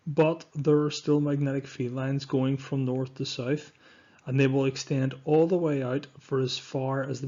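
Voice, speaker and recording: A man's voice: medium pitch, warm tone. Dull-sounding recording of a male science teacher. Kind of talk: scripted lesson narration over slides.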